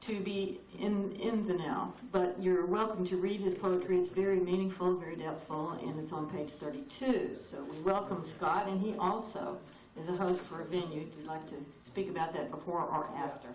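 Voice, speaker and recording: Low-voiced woman introducing a poet. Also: fairly even intonation, moderate pace, hardly any pauses, a crowd in the background. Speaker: open mic emcee. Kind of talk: introducing the next performer. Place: open mic night in a cafe. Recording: echoey room with audience, VHS tape.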